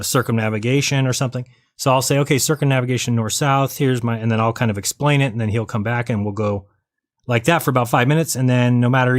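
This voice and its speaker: A man hosting a live online show in a casual, rambling and blunt way, thinking aloud as he goes. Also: medium pitch. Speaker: host of a live online debate and call-in show. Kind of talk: casual, rambling livestream chat, sometimes reading viewer comments.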